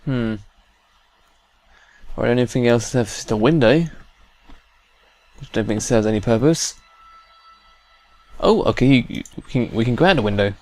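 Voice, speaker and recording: A man's voice: medium pitch, measured pace, long pauses, darkish tone. A man hosting a gameplay video, commenting casually and informally with playful exasperation. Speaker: gaming video host.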